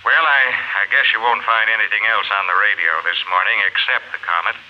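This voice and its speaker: A man's voice: fairly low pitch, brisk, lightly acted. An actor playing a tired, folksy newsman reading emergency bulletins in a 1950s radio drama. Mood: sleepy, folksy. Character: sleepy, folksy radio newsman urging calm during disaster. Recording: noisy lo-fi 1950s radio recording.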